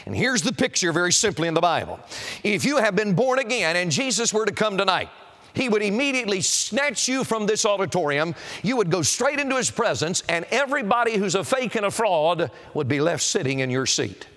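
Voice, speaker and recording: Male preacher, high voice, evangelistic sermon, emphatic, declamatory, solemn warnings, addressing a congregation. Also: sing-song, fairly quick, fairly bright tone. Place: auditorium, preaching to a seated congregation.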